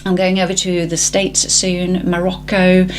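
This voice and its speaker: A woman's voice: lowish voice, monotone, unhurried. A woman from Sweden in her forties, chatting as an interview guest about her work, with many fillers. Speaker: guest, film trainer. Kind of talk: interview conversation.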